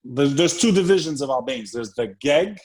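A man's voice: mid-range voice, expressive, a little fast. Streetwise man hosting a casual interview. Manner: streetwise, profane, repeats phrases. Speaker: podcast host.

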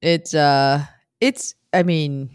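A woman's voice: low voice, steady tone, drawn-out words, smooth, no room sound, studio quality. A woman co-hosting a podcast, chatting casually and asking curious follow-up questions. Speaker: podcast co-host, attorney. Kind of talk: casual chat.

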